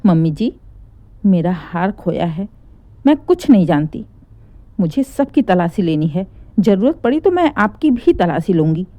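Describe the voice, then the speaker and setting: A woman's voice: fairly low pitch, sing-song, measured pace, dark tone, dry recording. A woman voicing a stubborn, aggrieved young daughter-in-law, insistent and accusing, in a family story. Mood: defiant.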